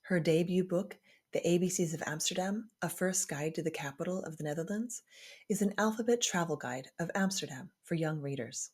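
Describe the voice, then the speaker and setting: A woman podcast host with a fairly low voice and a husky edge. She speaks as a presenter and interviewer, introducing guests and their stories. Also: darkish tone.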